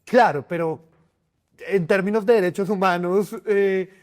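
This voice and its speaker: A man's voice: sits high, fairly even intonation, measured pace, a little mellow. A man interviewing a guest, asking loose, friendly questions with frequent restarts.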